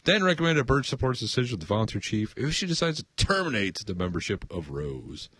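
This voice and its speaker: A man's voice: on the low side, big pitch swings, fast, a bit bright, breathy edge, dry recording. An American man co-hosting a comedy podcast, reading news aloud with crude, irreverent, jokey asides in casual banter. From United States. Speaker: podcast co-host.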